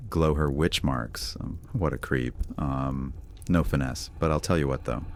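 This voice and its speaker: A man's voice: rumbling low, unhurried, darkish tone, a little hiss or hum. A man playing a cocky, taunting immortal vampire gladiator in a dramatic audiobook roleplay, with a sneering drawl. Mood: sneering contempt.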